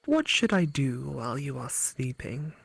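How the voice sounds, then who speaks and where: A woman voicing a skull-faced female app creature in a visual novel, speaking in slow, hushed, broken phrases. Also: rumbling low, very animated, creaky, breathy edge, no echo, heavily acted. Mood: halting, eerie.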